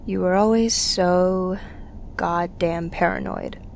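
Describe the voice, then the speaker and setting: A woman playing a young fugitive rebel, bitter and haunted with grief, recording an intimate, halting confessional monologue for an audio drama. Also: lowish voice, steady tone, creaky, a little mellow, a little hiss or hum.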